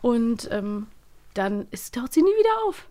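A woman chatting casually and humorously as a podcast co-host, retelling things in an animated way. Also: a little high, a little slow. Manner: animated retelling with acted-out quotes.

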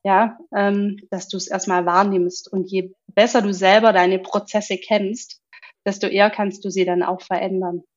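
A woman working as a voice coach and speech therapist, explaining calmly in a podcast interview and often humming along in agreement. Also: mid-range voice, a little slow, dull audio. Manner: calm, explanatory, with frequent affirming hums.

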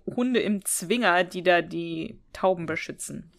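A woman's voice: fairly low pitch, measured pace, creaky. A woman co-hosting a podcast, chatting casually in an amused, lightly teasing way. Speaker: podcast co-host. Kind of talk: casual chat, recounting anecdotes.

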